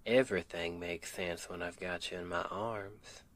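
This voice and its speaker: A man's voice: deep voice, fairly even intonation, measured pace, a bit bright. A man playing a sweet, devoted Southern husband in an intimate ASMR roleplay, tender and affectionate, with a Southern US accent.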